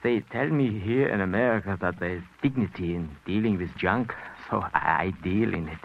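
An actor playing a frightened, fussy junk dealer who stammers and philosophizes nervously, in 1940s radio style. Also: male voice, sits low, normal speed, a little hiss or hum. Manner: stammering, flowery, nervous laughs. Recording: tinny old radio broadcast.

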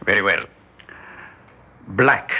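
An actor playing a precise, fastidious male conspirator in an old radio drama, formal and exacting in his speech. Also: on the low side, husky. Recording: muffled old radio recording.

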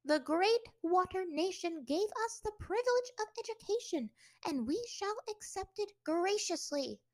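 A prim, strict schoolteacher with greying hair, her voice pitched up high and loud as she scolds the class, put on by a woman reading a story. She snaps her words out sharply and sternly. Her tone rises and falls with indignation. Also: big pitch swings, normal speed. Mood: indignant.

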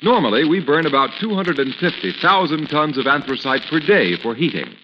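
A male announcer delivers scripted copy in emphatic 1940s radio style. His pitch moves up and down with great animation. The vintage recording is muffled and noisy. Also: animated, brisk. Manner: emphatic, declamatory.

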